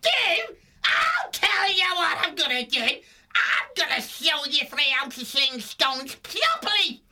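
A narrator voicing a small, hot-tempered fox gang chief with a squeaky voice and rough, bossy, rustic speech in a storybook reading. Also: sing-song, slow pace, sharp tone, shouting, heavily acted. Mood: furious, shouting. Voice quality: squeaky, shrill.

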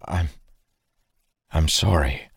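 A man's voice: fairly low pitch, animated, moderate pace, darkish tone, dry recording, studio quality, voice pushed up, close to an everyday voice. A man voicing an anguished, guilt-ridden warrior made young again, earnest and pleading, in a fantasy audiobook. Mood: remorseful.

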